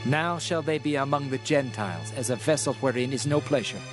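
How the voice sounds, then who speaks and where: A man's voice: medium pitch, fairly quick, over music, close to an everyday voice. A man playing a stern prophet who speaks for an angry God, declaiming scripture solemnly in a dramatized reading. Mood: stern, wrathful.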